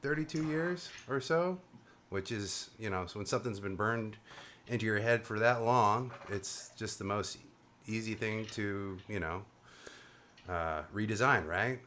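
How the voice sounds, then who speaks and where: Joking low-voiced male host. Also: a little slow, pushed and bright, a little hiss or hum. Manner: jokey, rambling, self-mocking. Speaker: host of a panel show. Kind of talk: casual joking chat. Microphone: video call.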